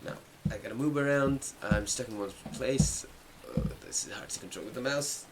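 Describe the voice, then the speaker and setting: A man's voice: mid-range voice, moderate pace, slight background noise, rough recording. A man hosting a let's play, talking casually as he thinks aloud and reads out game text. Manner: chatty, thinks aloud, repeats himself. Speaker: gamer, let's play host. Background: game music.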